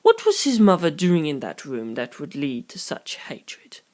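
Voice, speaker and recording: Narrator acting young detective, calm, analytical, formal wording, measured delivery, audiobook character, medium pitch. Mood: grave, analytical. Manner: measured, formal, deliberate phrasing. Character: Tsukumajuku, calm analytical young detective explaining grim theories.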